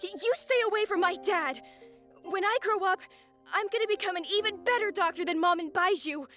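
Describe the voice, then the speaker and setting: A woman's voice: very high voice, fairly quick, a bit bright, faint music behind. A woman voicing a feisty little girl devoted to her father in an anime video game. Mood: defiant.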